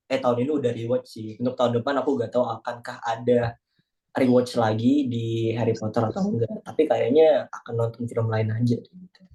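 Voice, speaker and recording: A man's voice: mid-range voice, dark tone, poor audio. A young man with an Indonesian accent chatting in a casual online class discussion, joking and self-deprecating. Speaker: class participant. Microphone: video call.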